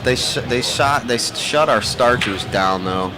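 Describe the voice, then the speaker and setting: A man's voice: mid-range voice, a little slow, music in the background, low-quality recording. An American man from Ohio giving casual live sports commentary in a chatty, folksy, slangy way. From Ohio, USA. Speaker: pool match commentator.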